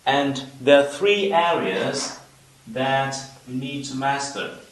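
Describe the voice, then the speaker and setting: A man from Vietnam with a Vietnamese accent, a native Vietnamese speaker, teaching an English lesson in a deliberate, explanatory way with pauses between phrases. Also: mid-range voice, a little hiss or hum. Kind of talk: instructional video lesson. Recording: roomy, echoing room sound.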